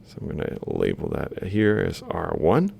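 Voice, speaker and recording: A man's voice: low-pitched, wildly swooping pitch, normal speed, gravelly, darkish tone, slight background noise. A man lecturing informally, explaining a worked example step by step and thinking aloud as he writes. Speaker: university lecturer.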